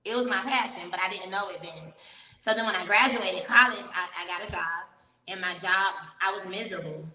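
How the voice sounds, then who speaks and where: A woman's voice: mid-range voice, brisk, sharp tone. Young woman, from Miami, interview guest, frank chatty storyteller, echoey room, distant mic. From Miami, Florida. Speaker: guest, professional dancer. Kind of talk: casual interview chat.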